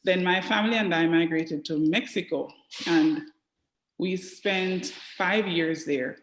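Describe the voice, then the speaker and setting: A woman's voice: fairly low pitch, unhurried, slight background noise. Echoey middle-aged Jamaican woman. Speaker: interview guest, dental therapist. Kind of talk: personal storytelling in an interview. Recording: roomy, echoey video call.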